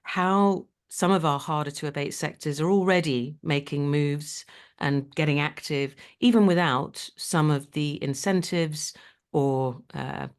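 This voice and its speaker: A woman hosting a podcast, asking questions in a chatty, animated way. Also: low-pitched, unhurried, clean tone. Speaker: podcast host. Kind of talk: podcast interview.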